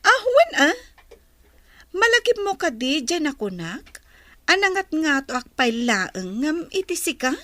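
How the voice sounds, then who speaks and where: Proud, high-pitched young Filipino daughter. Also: female voice, big pitch swings, unhurried, a bit bright, dry recording, exaggerated voice. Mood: dismissive. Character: proud, curt young woman working abroad, a daughter. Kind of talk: Ilocano radio drama acting.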